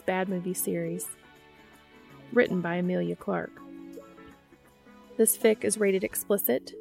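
A woman's voice: mid-range voice, gravelly. A woman who hosts a podcast and reads fiction aloud as scripted audiobook narration. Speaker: podcast host and fiction reader.

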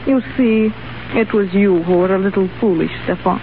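A woman's voice: medium pitch, moderate pace, lightly acted. Woman playing grave, lovelorn revolutionary, scratchy old radio. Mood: grave, reproachful. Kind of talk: 1930s radio acting. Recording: scratchy, narrow-band 1930s radio broadcast.

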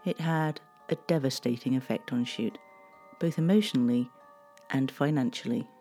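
A narrator giving scripted historical narration in a measured, solemn reading. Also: mid-range voice, warm tone, soft background music. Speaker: documentary narrator.

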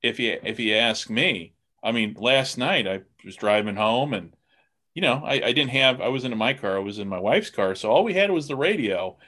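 A man's voice: mid-range voice, animated, normal speed, pushed and bright. A man hosting a casual podcast interview, talking in a rambling, folksy way as he thinks aloud. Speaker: podcast host interviewing guests. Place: remote call on a computer.

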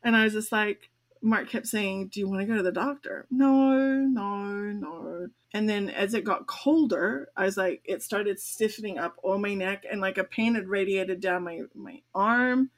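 Chatty grandma laughing through stories. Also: female voice, medium pitch, moderate pace. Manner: chatty, laughs often while telling stories. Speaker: podcast co-host. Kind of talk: casual chat.

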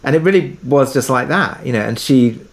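A man's voice: medium pitch, lively intonation, fairly quick. A man talking casually as an interview guest, telling production anecdotes in a conversational way and thinking aloud as he goes.

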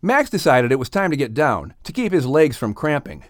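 Male audiobook narrator for children's fiction, medium pitch, lively intonation, dry recording. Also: moderate pace, no echo. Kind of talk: expressive scripted children's story narration.

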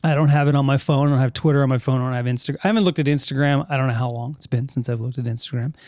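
A man's voice: medium pitch, fairly even intonation, very fast, a little mellow, no echo, clean studio sound. A man hosting a casual live podcast, rambling and self-correcting as he reads listener chat comments aloud. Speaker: podcast host. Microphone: studio mic with headphones.

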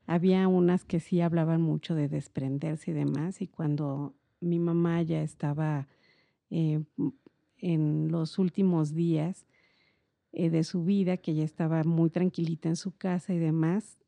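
A woman's voice: low-pitched, fairly even intonation, a little slow, vocal fry, dark tone, no echo. A middle-aged woman with a Mexican accent, explaining things in a reflective, meandering and informal conversational way. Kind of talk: casual conversational explanation on a podcast.

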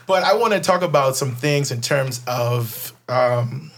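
A man hosting a relaxed podcast interview at a middle pitch. He talks loosely with casual slang and keeps dropping "you know what I mean" between thoughts. His delivery stays conversational and unpolished. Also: unhurried. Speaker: podcast host.

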